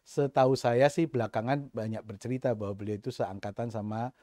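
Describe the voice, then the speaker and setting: A middle-aged man from East Java with a faint East Javanese accent, talking thoughtfully in a podcast interview, pausing often with fillers and restarts. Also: medium pitch, steady tone, dark tone, no room sound, clean studio sound. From East Java, Indonesia. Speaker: guest, senior finance official.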